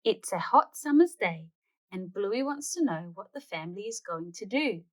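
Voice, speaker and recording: A woman's voice: medium pitch, lively intonation, normal speed. A woman hosting a read-aloud, narrating a children's storybook expressively.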